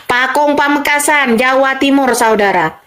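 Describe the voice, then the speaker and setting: Woman, somewhat high pitch, fervent spoken testimony, repetitive reassurances. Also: normal speed, a bit bright. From Madura, Indonesia. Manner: repetitive, fervent, often addresses listeners as brothers and sisters. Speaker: convert giving a religious testimony.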